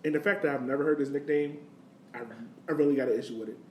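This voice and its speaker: Higher-voiced young man in an echoey room. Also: fairly even intonation, racing, darkish tone. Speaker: podcast host. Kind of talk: casual sports talk. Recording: roomy, distant mic, faint noise.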